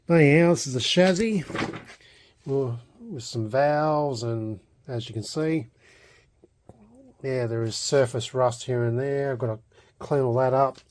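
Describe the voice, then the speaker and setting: A man's voice: mid-range voice, mellow. A man with an Australian accent giving a casual, unscripted show-and-tell, hesitant and meandering as he goes. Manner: hesitant, meandering delivery with trailing thoughts. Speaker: hobbyist vlogger.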